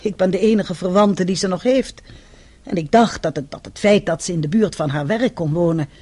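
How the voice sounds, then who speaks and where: A prim spinster landlady in a radio drama. Her voice is husky and her phrasing formal and measured, full of proper disapproval. She sounds unworldly and middle-aged. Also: lowish voice, a little mellow. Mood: prim regret. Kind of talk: Dutch radio play acting.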